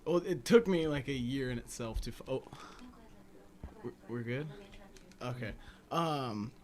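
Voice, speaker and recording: A man's voice: medium pitch, unhurried. A young man from California, a band's guitarist and singer, answering interview questions in a rambling, hesitant way with many ums. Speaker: guitarist and singer, band guest. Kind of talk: casual interview chat. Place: community station studio.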